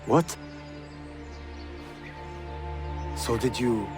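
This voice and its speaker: A man's voice: mid-range voice, measured pace, natural voice. A man playing a young, earnest Ottoman prince in a video game, speaking formally and articulately. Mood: shocked, reproachful. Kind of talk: video game cutscene acting. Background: cinematic game music.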